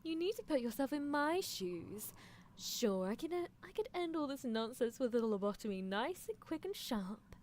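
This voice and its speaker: Over some background noise comes a woman acting as a young female mad scientist, high-voiced and lively in her pitch. She is teasing and possessive, talking down to her captive with clinical, mock-polite threats in a roleplay livestream. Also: moderate pace. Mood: coolly teasing. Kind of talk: improvised roleplay ASMR livestream.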